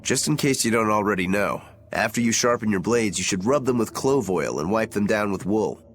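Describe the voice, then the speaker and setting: Man as an easygoing, deep-voiced blade sharpener. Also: animated, fairly quick, close to an everyday voice. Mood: instructive. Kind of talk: video game voice acting.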